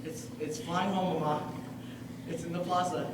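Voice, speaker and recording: Teenage guy as high-voiced earnest refugee boy, echoey stage. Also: little pitch movement, moderate pace, natural voice. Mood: defiant, hopeful. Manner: earnest, projected, mixes Spanish into English. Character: earnest young refugee boy, pleading and defiant. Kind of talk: high school stage play acting. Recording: very echoey, distant, noisy stage recording.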